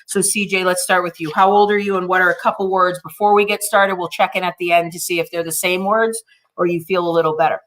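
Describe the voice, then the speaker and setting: A woman's voice: on the low side, level tone, fast talker, a bit bright. A woman in her forties moderating a panel over a video call, introducing guests in an effusive, encouraging way. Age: 40s.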